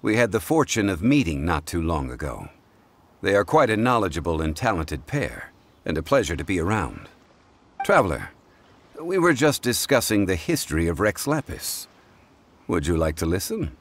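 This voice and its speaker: A calm, self-assured game gentleman with a low voice and lively intonation. He is courteous and erudite, choosing formal words with care. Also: heavily acted. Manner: measured, formal, polite. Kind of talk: video game character acting.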